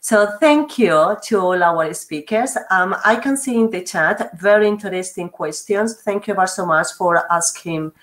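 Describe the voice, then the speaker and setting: A woman's voice: mid-range voice, normal speed, few pauses, fairly bright tone. A woman from Spain with a Spanish accent, hosting a university webinar over a video call in a polite, hesitant way. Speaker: webinar host, university representative.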